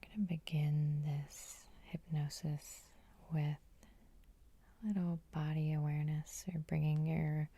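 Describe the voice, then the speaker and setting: A woman hypnotherapist guiding sleep hypnosis in a soothing, unhurried, breathy ASMR whisper, close to the microphone. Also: deep voice, little pitch movement, mellow.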